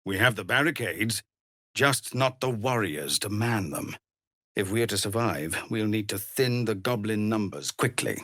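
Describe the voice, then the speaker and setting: Slow, grave tiefling paladin leader. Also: male voice, fairly low pitch, lively intonation, a bit bright, no echo, clean studio sound. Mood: weary, urgent. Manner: measured, grave, formal. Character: weary, honourable tiefling paladin leader burdened by guilt. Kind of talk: video game dramatic voice acting.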